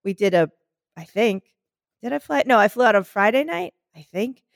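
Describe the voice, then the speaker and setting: A woman's voice: a little high, animated, normal speed, vocal fry, no echo, studio quality. A woman co-hosting a podcast in casual chat, her voice smoky and scratchy from a sore throat, telling stories with lots of restarts. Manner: chatty, rambling storyteller with many restarts. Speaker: podcast co-host.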